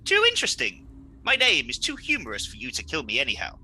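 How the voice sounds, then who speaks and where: A man's voice: mid-range voice, huge pitch swings, quick pace, bright, forceful tone, husky, full character voice, low-quality recording. A man playing a flamboyant, egotistical villain in a comedy radio play, pompous, petulant and melodramatic. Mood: gleefully defiant.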